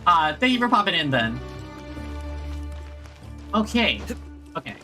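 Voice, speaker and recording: Lively, higher-voiced man streaming a game. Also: measured pace. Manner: rambling, talking to himself and viewers. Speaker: video game livestreamer. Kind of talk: casual gameplay commentary. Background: video game music.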